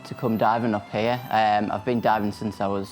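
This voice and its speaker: Man, late 20s, low flat delivery, halting answers, faint music. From Sunderland, England. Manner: flat, many "um"s and restarts. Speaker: technical diver. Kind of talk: interview answers.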